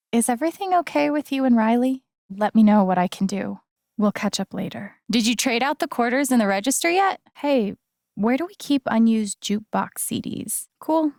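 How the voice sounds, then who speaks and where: An actress playing a friendly, wry and earnest young woman in a video game, talking in a casual, gently teasing way. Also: a little high, dry recording, clean studio sound. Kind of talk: naturalistic video game dialogue acting.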